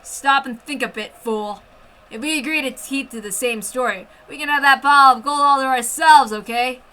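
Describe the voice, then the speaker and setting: A woman's voice: sits high, moderate pace, pushed and bright, slight background noise. A woman voicing a brutal, greedy military officer in a menacing, accusatory manner for a story reading. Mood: scheming.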